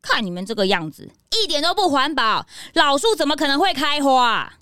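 A woman playing a perky, outspoken young detective's assistant with an expressive delivery in a children's audio drama. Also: sits high, a little fast, no room sound, studio quality, faint music behind, heavily acted. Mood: exasperated. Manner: expressive, complaining, emphatic.

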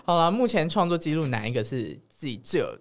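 A man's voice: fairly high pitch, very animated, fast talker, very noisy. A man with a Taiwanese accent hosting a podcast with blunt, teasing, casual banter. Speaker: podcast host.

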